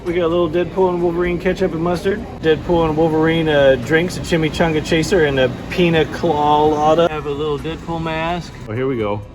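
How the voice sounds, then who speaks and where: A man hosting a movie and toy vlog, talking in a casual, excitable, slangy way. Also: on the high side, fairly quick, hardly any pauses. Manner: excitable, slangy, chuckles often. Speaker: host of a toy and movie review channel. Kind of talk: casual, enthusiastic vlog chat.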